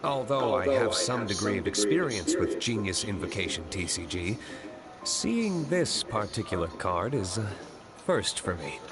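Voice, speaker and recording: A man's voice: lowish voice, sing-song, measured pace. A man playing a composed, formal young aristocratic winery owner, with understated manners, in an anime-style video game. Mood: curious, cool. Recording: game music playing loudly behind.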